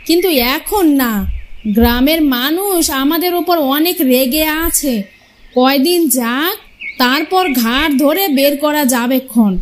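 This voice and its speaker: A woman's voice: high-pitched, a little hiss or hum. A woman voicing a spiteful, commanding older mother-in-law in a cartoon fairy tale. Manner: scolding, commanding, drawn-out delivery.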